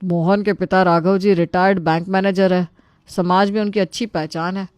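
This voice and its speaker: Lady doing a nervous respectful relative in a storybook, polite and pleading, slightly low voice, dry recording. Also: steady tone, normal speed, darkish tone, clean tone, no echo, close to an everyday voice. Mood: eager, respectful. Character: anxious, deferential younger relative pleading with a bossy elder. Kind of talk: Hindi storybook character acting.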